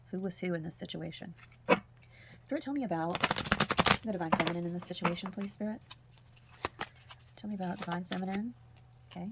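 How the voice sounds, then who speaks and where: Husky hoarse woman reading tarot. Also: fairly low pitch, heavy background noise. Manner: soft-spoken, drifts into whispers at times. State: hoarse, losing her voice. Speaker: tarot reader. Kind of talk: spiritual reading, casual monologue.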